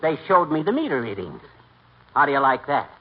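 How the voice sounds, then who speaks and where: An actor playing a boastful, stubborn middle-aged American husband in a 1940s radio comedy, with a bombastic, folksy manner. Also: male voice, on the high side, very animated, brisk, husky, exaggerated voice. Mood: indignant boasting. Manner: bombastic, folksy, indignant bragging. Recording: dull, noisy 1940s radio recording.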